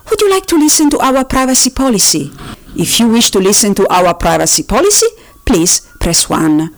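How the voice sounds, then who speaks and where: A woman voicing a politely officious automated phone-menu system, reading scripted options formally with drawn-out, sing-song emphasis. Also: medium pitch. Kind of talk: recorded phone-menu announcement, scripted parody.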